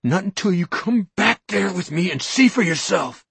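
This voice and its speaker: A man's voice: high-pitched, animated, normal speed, vocal fry, a bit bright, breathy edge, no echo, pitched above the performer's own voice, heavily acted. A man voicing a determined grown man who pleads and threatens with urgent force in a storybook reading. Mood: forceful.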